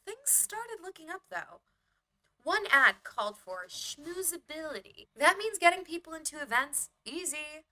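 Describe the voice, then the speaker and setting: Woman playing naive young newcomer, motion comic heroine, animated pitch, bright tone, wry, chatty, eager. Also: a little slow, heavily acted. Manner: chatty, wry diary-like telling. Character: naive, eager small-town young woman new in the city. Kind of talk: motion comic narration and dialogue.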